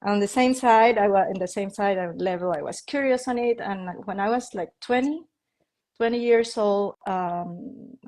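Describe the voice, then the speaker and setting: Colombian-accented woman, 50s, interview guest: loose, rambling storytelling full of fillers, mid-pitched voice. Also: normal speed, a little mellow. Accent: Colombian Spanish. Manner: rambling, with frequent "like" fillers and light laughs. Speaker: interview guest, feng shui and Reiki practitioner.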